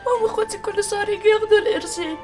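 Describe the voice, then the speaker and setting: Meek young Egyptian housemaid, plaintive, very high-pitched, talking very fast, TV drama. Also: female voice, darkish tone, faint music behind. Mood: tearful self-pity. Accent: Egyptian Arabic. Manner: plaintive, tearful. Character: young housemaid, self-pitying, meek and devoted. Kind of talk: scripted TV drama dialogue.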